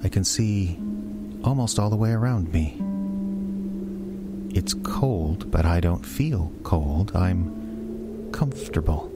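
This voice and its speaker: An actor playing a dazed office man in a radio play monologue, speaking in halting, deliberate short phrases. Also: deep voice, lively intonation, over loud music, full character voice. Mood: calm wonder. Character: dazed office man haunted by a moose, slow and hushed.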